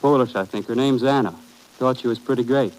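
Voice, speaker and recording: A man's voice: medium pitch, brisk, darkish tone, close to an everyday voice, rough recording. An actor playing a young American army sergeant in an old radio drama, informal and a little hesitant in his answers. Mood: uneasy, candid. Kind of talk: 1950s radio drama acting.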